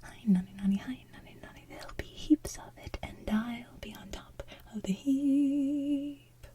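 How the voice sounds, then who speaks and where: A woman hosting ASMR in a hushed, breathy, playful way, moving between whispered chat and soft singing. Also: high voice, a little slow. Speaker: ASMR channel host.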